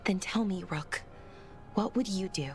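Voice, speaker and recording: An actress plays a young female elf scholar from a fantasy video game. She is earnest and torn, choosing her words haltingly and thoughtfully. Music plays underneath. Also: medium pitch, natural voice. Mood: anxious doubt. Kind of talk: dramatic video game dialogue.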